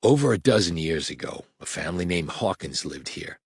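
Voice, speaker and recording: A man voicing a huge, blunt federal agent who speaks quietly and matter-of-factly. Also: low voice, expressive, a little fast, breathy edge, no room sound, clean studio sound, exaggerated voice. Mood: low-key. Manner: quiet, terse, matter-of-fact.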